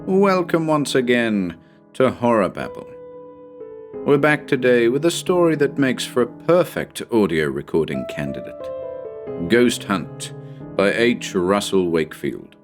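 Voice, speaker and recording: A man hosting horror story readings, giving a scripted introduction in a measured, theatrical storyteller's manner. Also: mid-range voice, big pitch swings, soft background music.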